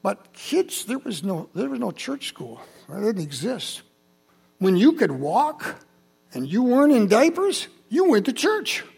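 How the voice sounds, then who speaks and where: An older man in his seventies with a high, gravelly, husky voice, speaking to a congregation in a church. He tells Bible stories and memories in a relaxed, chatty way, turning to the listeners with quick asides. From Holland, Michigan. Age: 70s. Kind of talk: personal sermon storytelling.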